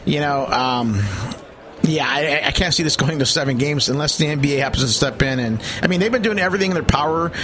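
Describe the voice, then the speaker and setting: A man's voice: on the high side, fast, a bit bright, slight background noise. Opinionated sports talker in his forties. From San Francisco Bay Area. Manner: rambling, opinionated, with many fillers. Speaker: sports segment co-host. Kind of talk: casual sports commentary.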